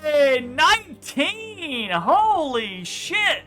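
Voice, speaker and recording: A man running a tabletop game as game master, casual and animated, swearing freely and trailing off with filler words. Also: very high voice, extremely slow, soft background music. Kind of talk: narrating a tabletop game online.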